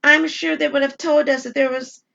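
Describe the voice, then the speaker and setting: A woman's voice: sits high, steady tone, vocal fry, bright, forceful tone, dull audio, voice pushed up, full character voice. A woman playing a frightened, anxious young wife in an audio drama, speaking emphatically. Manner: anxious, emphatic, halting when scared. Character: Shelby, frightened young wife alone at home.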